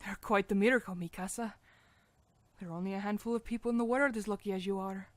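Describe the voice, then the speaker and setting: A woman playing a reassuring, patient doctor in a fan audio drama, with a gentle professional bedside manner. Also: mid-range voice, fairly even intonation, fast talker, dry recording, lightly acted.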